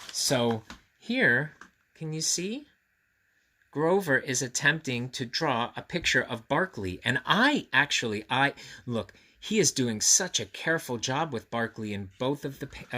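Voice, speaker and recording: A man reading a storybook aloud to children, expressive and confiding, pausing to put questions to the listener. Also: medium pitch. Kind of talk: animated read-aloud with friendly asides to children.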